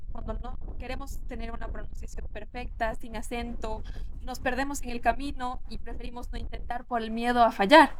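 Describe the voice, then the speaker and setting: Woman in her twenties, from Ecuador, interview guest, reflective, earnest, fairly high voice. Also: low-quality recording. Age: 20s. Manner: reflective, earnest, many restarts and fillers. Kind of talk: casual interview conversation.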